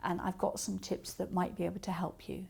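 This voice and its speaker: A woman's voice: fairly low pitch, level tone, a little fast, vocal fry. A woman speaking as a coach, giving practical advice step by step with illustrative examples. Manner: explains step by step with acted-out example remarks. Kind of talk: instructional talk with practical tips and examples.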